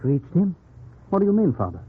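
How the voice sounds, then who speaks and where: An eager young colonial trader, high-voiced and exclamatory. His pitch swings widely in earnest 1940s radio acting. It sounds like a thin old broadcast. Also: sing-song, fairly quick, slightly rough. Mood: astonished. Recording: tinny, noisy 1940s radio recording.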